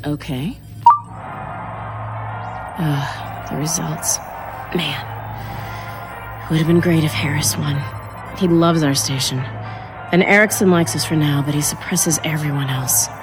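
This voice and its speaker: A woman's voice: deep voice, fairly even intonation, measured pace, long pauses, over music. A woman playing a sardonic, curious TV news station employee in an audio drama, with wry, casual delivery. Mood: sardonic, muttering. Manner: wry, muttering, casual asides. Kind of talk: naturalistic audio drama acting.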